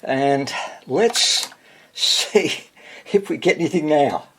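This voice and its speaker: A man giving casual, hands-on repair commentary as he hosts a video, thinking aloud in a husky voice. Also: fairly high pitch, expressive, slow pace, bright, forceful tone. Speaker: vintage radio repair hobbyist hosting video.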